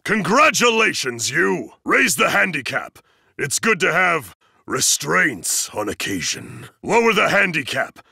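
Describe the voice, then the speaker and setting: A towering, zealous male disciplinarian from an anime fighting game. The voice is hard-edged and very intense, with a raspy grain and big swings in pitch. He delivers everything as a bombastic, formal proclamation, stern and emphatic. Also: sing-song, unhurried, bright, forceful tone, no echo, clean studio sound, exaggerated voice. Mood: courteous, composed. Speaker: video game voice actor.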